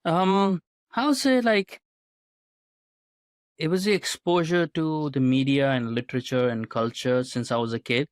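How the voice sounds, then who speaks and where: A man's voice: a little high, measured pace, dry recording, studio quality. A man being interviewed on a podcast, talking earnestly and often checking in with a questioning "right?". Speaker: podcast guest, writer and commentator.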